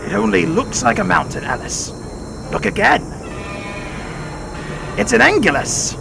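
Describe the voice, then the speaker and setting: Audio drama scientist father, middle-aged male actor: very creaky voice, self-assured, marvelling, music underneath. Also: sits high, sing-song, a little slow, heavily acted. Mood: awed, excited. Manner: theatrical, marvelling, explanatory. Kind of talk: full-cast audio drama acting.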